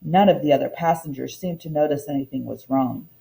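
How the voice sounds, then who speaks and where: A woman teacher giving an expressive storybook read-aloud lesson in an instructive, deliberate manner. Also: deep voice, creaky, rough recording.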